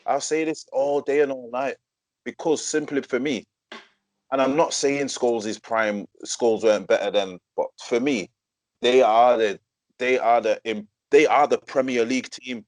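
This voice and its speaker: A man co-hosting a casual football debate, speaking in a deliberate, insistent way. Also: mid-range voice, fairly even intonation, no room sound. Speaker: podcast co-host.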